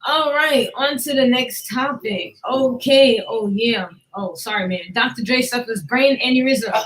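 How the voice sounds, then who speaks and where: High-voiced woman hosting a casual chat. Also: unhurried, fairly bright tone, rough recording. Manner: slangy, swearing, emphatic. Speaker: podcast host. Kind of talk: casual chat about celebrity news.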